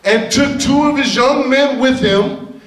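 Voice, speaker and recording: A middle-aged man preaches in a very high voice, reading scripture and driving his points home with sudden shouts. He speaks into a handheld mic in a large church hall, heard from a distance with a roomy echo. The congregation murmurs faintly behind him. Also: unhurried, faint music behind. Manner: emphatic, bursts into shouted lines. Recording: echoey hall, heard from afar. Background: congregation responding.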